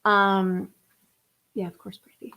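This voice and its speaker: A woman in her thirties talks loosely and at length to her viewers, filling her sentences with "um" and little laughs. Her voice sits at an ordinary middle pitch and her talk wanders from one thought to the next in a relaxed, chatty way. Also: takes it slowly, gravelly, clear, resonant tone, poor audio. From United States (Atlanta area). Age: 30s. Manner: chatty and rambling, many fillers, laughs often. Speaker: musician hosting a live stream. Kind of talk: casual live-stream chat reading viewer comments.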